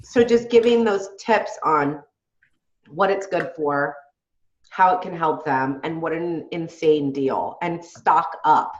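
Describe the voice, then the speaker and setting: A woman's voice: sits low, slow pace, reverberant, lo-fi sound. A woman with a head cold, sounding congested, coaching her team on a call in a chatty way and often asking "right?". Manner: chatty, asks "right?" often, laughs between points. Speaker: team leader on a group call.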